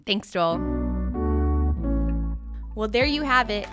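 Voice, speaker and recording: A woman's voice: medium pitch, lively intonation, slow, loud music behind the voice. A woman hosting a podcast and interviewing a guest in a casual, conversational way.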